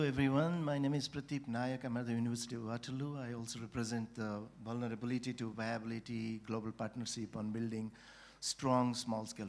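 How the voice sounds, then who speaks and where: A man's voice: medium pitch, vocal fry. A man speaking as a researcher on a conference panel, leaning on "you know" and trailing off at the ends of points. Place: conference hall.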